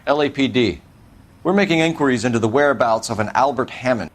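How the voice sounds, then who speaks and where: An actor playing an upright, by-the-book American police detective with a stern manner, in a 1940s noir video game. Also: male voice, medium pitch, quick pace, close to an everyday voice. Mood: businesslike.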